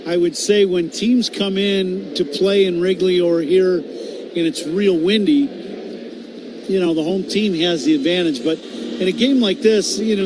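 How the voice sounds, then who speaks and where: Higher-voiced male baseball analyst, noisy background. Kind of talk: live radio analysis, conversational.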